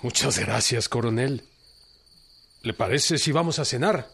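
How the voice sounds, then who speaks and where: An actor with a Mexican accent playing a dignified, reserved revolutionary general, terse yet gracious, in a radio drama. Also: male voice, mid-range voice, creaky, a bit bright. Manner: unhurried, terse.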